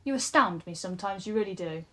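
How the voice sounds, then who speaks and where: A woman's voice: medium pitch, lively intonation, quick pace, sharp tone. A woman acting in an audio drama as a bored, sceptical young woman with a dry, dismissive, sarcastic manner. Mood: exasperated, sarcastic.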